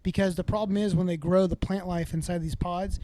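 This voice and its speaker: Male podcast co-host, high-pitched voice, casual and irreverent news banter. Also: fairly even intonation, a little fast, dark tone, a little hiss or hum. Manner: irreverent, crude slang. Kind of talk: casual chat, joking news segment.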